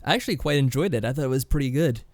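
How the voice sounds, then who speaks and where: A man's voice: mid-range voice, very fast, no room sound. A man co-hosting a podcast, giving his views in casual discussion. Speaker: podcast co-host.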